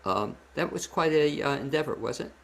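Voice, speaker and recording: Gravelly-voiced man hosting a chatty interview. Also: on the high side, lively intonation, normal speed. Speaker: talk show host. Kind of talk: casual interview chat. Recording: noisy background, room ambience.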